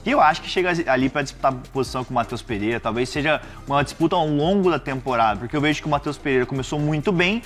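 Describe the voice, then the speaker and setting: A man's voice: on the high side, animated, rapid-fire, few pauses, a bit bright, soft background music. A Brazilian man chatting as a panelist in a casual football debate.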